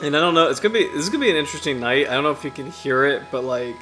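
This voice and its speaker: A man in his thirties from the US Chesapeake Bay region, hosting a casual livestream in a halting, self-deprecating way, his throat slightly raspy from allergies. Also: on the high side, bright, forceful tone, faint music behind. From United States, Chesapeake Bay region. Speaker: livestream host.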